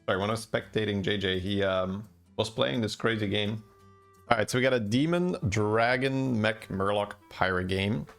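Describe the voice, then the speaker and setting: A man giving relaxed, chatty game commentary and answering chat questions, with faint music behind. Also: on the low side, normal speed, creaky. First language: Dutch. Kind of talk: casual gameplay commentary.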